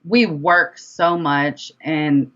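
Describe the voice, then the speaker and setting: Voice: mid-range voice, extremely slow, fairly bright tone, muffled recording. An independent rapper talking casually as an interview guest, chatty and informal, repeating phrases for emphasis.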